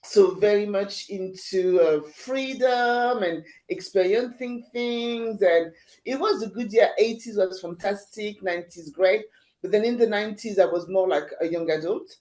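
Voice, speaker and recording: A woman's voice: medium pitch, unhurried, dark tone, poor audio. A woman in her fifties, born in Haiti and raised in France, chatting animatedly as an interview guest, laughing often and restarting her sentences. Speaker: guest, agency founder. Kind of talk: casual interview chat.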